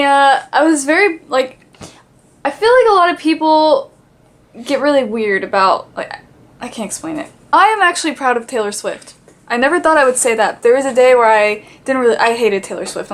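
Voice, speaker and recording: High-voiced young woman vlogging casually. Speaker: vlogger and musician. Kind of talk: casual vlog chat.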